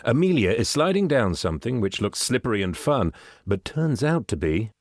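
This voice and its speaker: A man's voice: medium pitch, big pitch swings, moderate pace, no room sound, studio quality. A man giving scripted narration, reading out stage directions.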